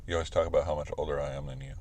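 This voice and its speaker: A man's voice: extremely low pitch, flat delivery, very fast, darkish tone. A man in his late thirties, dry and sarcastic as a co-host in casual podcast chat. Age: late 30s.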